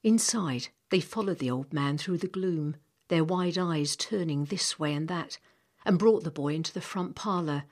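A woman narrates an audiobook in a low voice. It has a husky grain, and the delivery is scripted storytelling, read as a novel. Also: moderate pace, darkish tone.

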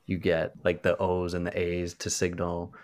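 A man's voice: sits low, completely flat delivery, moderate pace, a little mellow. A podcast co-host, a man in casual conversation, hedging often with "like". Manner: hedging, lots of "like".

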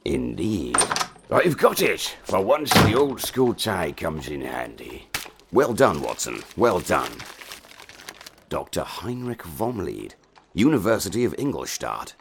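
A man playing a pompous Victorian gentleman in an audio drama, gravelly and husky, with theatrical exclamations. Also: low voice, wildly swooping pitch, slow, slight background noise, exaggerated voice. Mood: musing.

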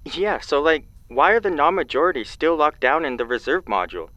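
An actor playing an aggrieved, free-thinking amateur poet calling in to a show in an audio drama, with a theatrical, slangy delivery. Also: male voice, a little high, very animated, a little fast, bright, forceful tone, no room sound. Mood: indignant.

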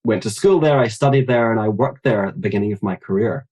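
A man in his thirties with a Glasgow Scottish accent, a guest author speaking quickly and articulately in a podcast interview. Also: on the low side, a little mellow, rough recording. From Scotland. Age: 30s.

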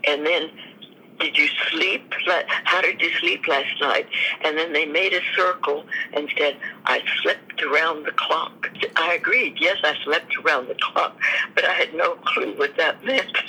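Slow-spoken woman in her nineties. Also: low voice, sharp tone, breathy edge, low-quality recording. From United States. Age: 90s. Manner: slow, thoughtful storytelling with restarts. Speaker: guest, army veteran. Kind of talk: reminiscing interview answers.

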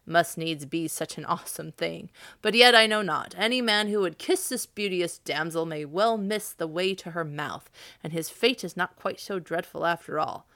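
A woman reading aloud, voicing a rude male steward knight who mocks loudly. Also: deep voice, normal speed, vocal fry, few pauses. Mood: mocking. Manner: mocking, loud.

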